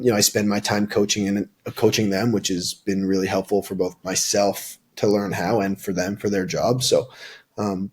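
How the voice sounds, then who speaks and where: A husky, slightly low-pitched young man talks loosely and informally, stumbling into restarts and leaning on "like" and "you know." He sounds like a sales rep giving candid career advice in an interview. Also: a little mellow. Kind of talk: casual interview answers.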